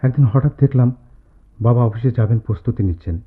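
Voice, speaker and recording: An actor playing a quiet, studious young man in a radio drama, speaking reflectively with dramatic pauses. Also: medium pitch, slightly rough, lightly acted. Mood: wistful. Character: quiet, studious young man recalling his student hostel days. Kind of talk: Bengali radio drama acting. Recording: tinny lo-fi radio play, music behind.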